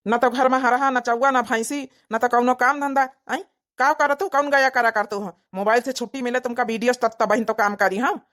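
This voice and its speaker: A woman's voice: a little high, fairly even intonation, moderate pace, fairly bright tone, natural voice. A woman voicing a fed-up village husband in an Awadhi comedy cartoon, complaining and scolding like a long-suffering spouse. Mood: nagging. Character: fed-up working village husband nagging his wife.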